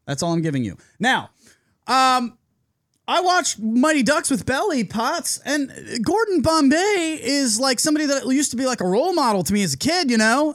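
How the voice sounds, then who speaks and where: A man in his thirties hosting a podcast, chatting casually and reacting with quick jokes and bursts of excitement. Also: very high voice, no room sound, studio quality. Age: 30s. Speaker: podcast host. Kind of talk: casual chat.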